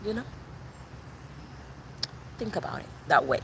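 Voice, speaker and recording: A woman with a bright, forward voice gives a relaxed spiritual tarot reading. She speaks in a loose, colloquial way, addressing the listener directly, with a faint hiss of noise underneath. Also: on the low side, measured pace, gravelly. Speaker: tarot reader. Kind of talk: spiritual collective reading, casual talk.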